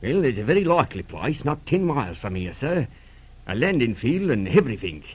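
A man's voice: mid-range voice, a little fast, slightly rough. A man playing a deferential, eager country policeman with folksy phrasing, in 1940s radio drama style. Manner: folksy, respectful, lively. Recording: old radio serial recording.

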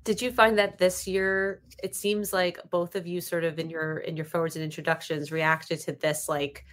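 A woman's voice: on the low side, little pitch movement, normal speed, fairly bright tone. A woman moderating a panel, asking informal questions and rephrasing them as she goes. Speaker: moderator, interviewer.

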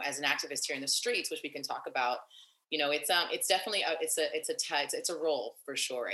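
A young woman activist talking in an interview, animated and long-winded with many fillers and restarts. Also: extremely low pitch, reverberant, poor audio. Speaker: activist and nonprofit founder. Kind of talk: casual interview conversation.

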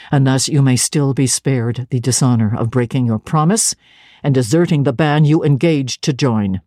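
A woman voicing a stern, cold male clergyman who speaks in a low, measured and severe way. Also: dark tone, no room sound, studio quality. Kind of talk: dramatic reading of a classic novel.

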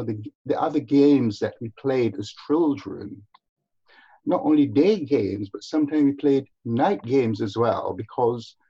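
Older man, husky creaky voice, emphatic storyteller, interview guest, muffled recording. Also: mid-range voice, warm tone. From Barbados. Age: older, over 60. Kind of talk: reminiscing in conversation.

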